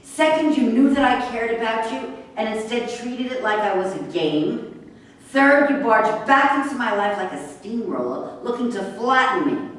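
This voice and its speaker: A woman in a staged play reading, acting a bitter, sharp-tongued racehorse trainer who is hurt, sarcastic and confrontational. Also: on the high side, expressive, normal speed, lightly acted. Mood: angry, scornful. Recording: very echoey room, distant mic, faint noise.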